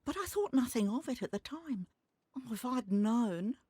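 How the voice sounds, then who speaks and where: An actress playing a loyal, anxious maid in a video game, deferential and plain-spoken with a dramatic delivery. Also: female voice, on the high side, animated, normal speed, darkish tone, husky, dry recording, studio quality. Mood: anxious, recounting. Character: loyal, anxious maid serving her mistress.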